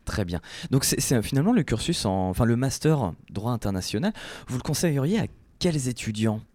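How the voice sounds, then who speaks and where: A man hosting a radio interview, asking questions in a relaxed, conversational way. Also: mid-range voice, fairly quick, no echo. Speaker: radio host. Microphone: studio microphone.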